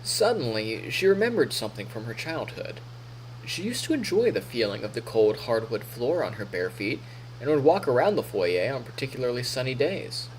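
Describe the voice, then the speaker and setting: A man reads a visual novel aloud as a streamer, with very animated, swinging intonation and a playful storyteller's delivery. He mixes narration with casual asides, and faint noise sits behind his voice. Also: medium pitch, normal speed, low-quality recording.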